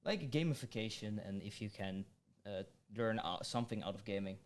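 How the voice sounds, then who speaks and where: A young man hosting a student podcast, speaking hesitantly with many pauses and giggles. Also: lowish voice, expressive. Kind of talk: casual interview chat.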